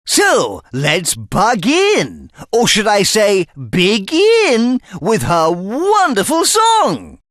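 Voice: medium pitch, wildly swooping pitch, extremely slow, few pauses, full character voice. An actor voicing a friendly, chatty cartoon bug who hosts a children's show, exclaiming, making puns and breaking into song. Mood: upbeat. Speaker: show host. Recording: loud music behind the voice.

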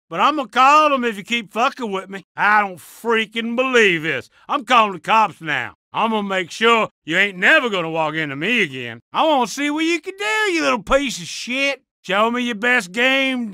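Game barks: hot-headed Southern tough guy, very high pitch, dry recording. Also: no room sound, exaggerated voice. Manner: loud, profane, belligerent. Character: brash aggressive country tough guy.

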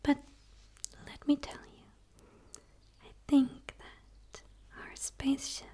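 A woman voicing a gentle, curious dark alien in a soft, tentative, pleading ASMR roleplay whisper. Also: high-pitched, completely flat delivery, takes it slowly. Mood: earnest, gentle. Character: gentle, curious female dark alien eager for human friendship.

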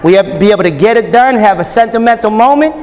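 Animated high-voiced preacher in a hall. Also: male voice, quick pace. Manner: animated, joking, call-and-response with the crowd. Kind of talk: lively conference sermon. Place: large hotel ballroom with a live audience. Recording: long hall reverb, faint crowd.

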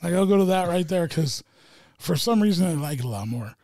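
A man's voice: on the high side, expressive, brisk, darkish tone, no echo, studio quality. A man on a podcast as a guest comic, chatting loosely and swearing a lot. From Wyoming. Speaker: stand-up comedian, podcast guest. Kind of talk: casual chat.

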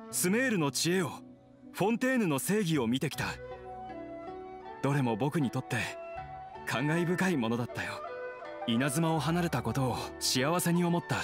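A man playing a gentle, wistful young swordsman in a Japanese anime game. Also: on the high side, expressive, normal speed, long pauses, over music, close to an everyday voice. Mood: reflective.

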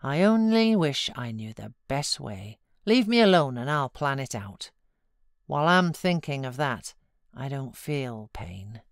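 A woman's voice: rumbling low, very animated, unhurried, dry recording, studio quality, heavily acted. A British woman voicing a grave, brooding, vengeful boy in a storybook reading. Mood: bitter, vengeful.

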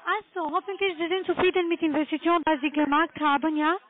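A woman with a foreign accent giving a halting, formal sales pitch as a telemarketer on the phone. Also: steady tone. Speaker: telemarketing caller, trading broker. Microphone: telephone call. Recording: tinny narrow-band phone line.

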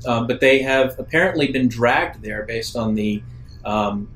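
Halting man running a tabletop game. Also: mid-range voice, fairly bright tone, a little hiss or hum. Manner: halting, deliberate description. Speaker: game master. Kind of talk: improvised narration of a tabletop sci-fi roleplaying game.

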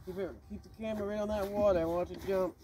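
A man in his thirties filming a casual fishing vlog from a small boat on a lake, loudly and eagerly coaching his kids as they reel in fish. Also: high voice, steady tone, very fast. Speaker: fishing vlogger and father. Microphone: action camera mounted on the boat. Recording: outdoor wind and water noise.

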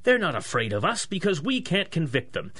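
Blustery, bright-voiced police chief. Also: male voice, a little high, wildly swooping pitch, brisk, breathy edge, exaggerated voice. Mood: stern. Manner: huffy, formal, emphatic. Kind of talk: 1930s radio acting.

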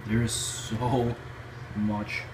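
A man's voice: on the low side, little pitch movement, extremely slow. A man hosting an informal, jokey amateur cooking vlog, narrating casually from a home kitchen. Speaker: amateur cooking show host. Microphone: handheld phone or camera. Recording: noisy handheld camera recording.

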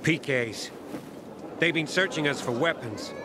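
An actor playing a shaken, bitter guard who survived an attack, in a video game. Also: male voice, on the high side, a little slow, noisy background, natural voice. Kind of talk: video game character acting.

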